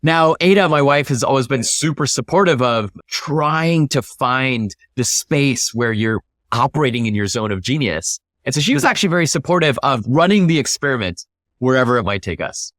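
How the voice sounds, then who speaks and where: A man's voice: medium pitch, expressive, moderate pace, a bit bright, no echo, studio quality. A man speaking as an interview guest, explaining his thinking in an emphatic, conversational way with rhetorical questions. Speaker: interview guest, startup founder.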